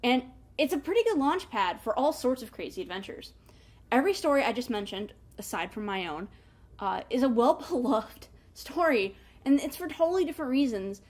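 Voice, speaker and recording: A woman hosting a book review in a casual, chatty way, with animated emphasis and wry asides. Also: on the high side, moderate pace. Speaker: book review show host.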